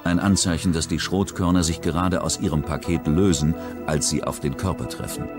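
A man reading a scripted true-crime documentary narration in a measured, even way. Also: sits low. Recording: music bed under the voice. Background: documentary music.

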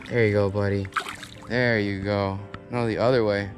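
A man's voice: lowish voice, level tone, moderate pace. Upbeat young guy narrating a fishing trip. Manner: upbeat, keeps calling out to viewers. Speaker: fishing vlogger, host. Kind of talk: casual running commentary while fishing. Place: outdoors on a grassy canal bank. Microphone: wide-angle action camera on his body. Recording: music playing under the voice. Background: music.

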